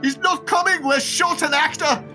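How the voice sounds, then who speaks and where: A man's voice: very high-pitched, steady tone, normal speed, sharp tone, soft background music, pitched above the performer's own voice, heavily acted. A man voicing a frantic, dramatic theater actor in a panic. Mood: panicked.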